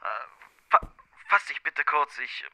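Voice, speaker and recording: A man's voice: mid-range voice, slow, no echo, full character voice. Man playing irritable hungover police inspector. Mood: hungover, irritated. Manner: groaning, curt, deadpan. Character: hungover, irritable, hard-drinking male police inspector, sardonic. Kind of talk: comedic audio drama acting.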